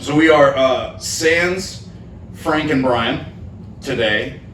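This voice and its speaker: A man hosting a casual podcast chat, relaxed and rambling, with plenty of fillers and easy laughs, recorded in a living room with a football game on. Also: mid-range voice, slow. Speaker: podcast host and band member. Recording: roomy, distant mic, noisy background.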